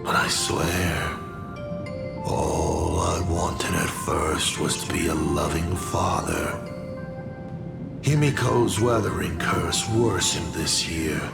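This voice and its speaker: An actor playing a sly, theatrical masked trickster who poses as a father, wry and gravelly, in a video game. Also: low-pitched, steady tone, slow pace, loud music behind the voice, full character voice. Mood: wistful. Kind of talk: dramatic video game dialogue.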